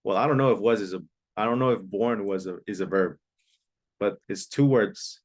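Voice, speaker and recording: A man's voice: fairly low pitch, moderate pace. A man teaching an online English class, patiently repeating key phrases and sometimes explaining in Spanish. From Mexico.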